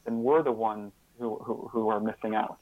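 A man speaking as an interview guest, explaining his ideas in a reflective, earnest way, often halting and repeating words. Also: lowish voice, fairly even intonation. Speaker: interview guest and author on caregiving. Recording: slightly phone-like, band-limited line.

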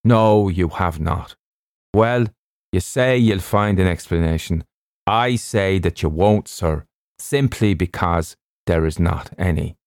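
Man voicing a brusque, low-voiced ship's surgeon. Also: slow pace, darkish tone, no echo, clean studio sound. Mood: sharp, stubborn. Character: brusque, self-assured male ship's surgeon, stubbornly sceptical.

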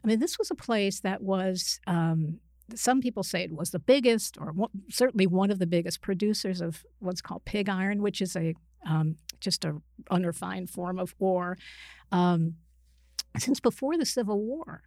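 A woman's voice: mid-range voice, moderate pace, a little mellow, no echo, studio quality. A middle-aged woman answering as an interview guest, conversational and thoughtful, feeling her way through explanations with self-corrections. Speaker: interview guest, psychiatrist and author.

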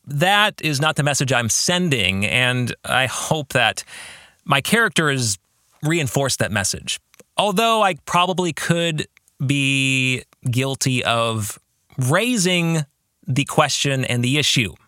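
Slow, bright-voiced man with animated pitch. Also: no echo, clean studio sound. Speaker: podcast host and novelist.

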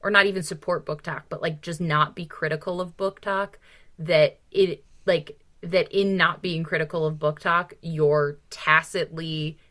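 A woman's voice: low voice, fairly even intonation, a little slow, a bit bright. A woman co-hosting a podcast, discussing books casually and with opinions, talking in an emphatic, exasperated way and swearing freely. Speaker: podcast co-host.

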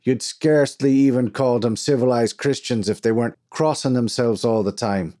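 A male narrator plays a gruff mine foreman from an adventure audiobook. He is blustering and exasperated, pitched up from plain narration and pushed hard in volume, with a short temper and a habit of cursing. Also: fairly even intonation, moderate pace, dark tone, natural voice. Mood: scornful. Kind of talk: dramatized audiobook character.

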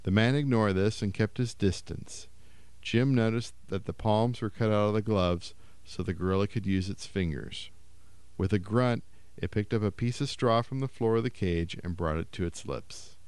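A man reads a short story in a low, measured voice. His tone is smooth and resonant, and the recording is close and dry. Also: a little mellow, dry recording. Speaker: story reader.

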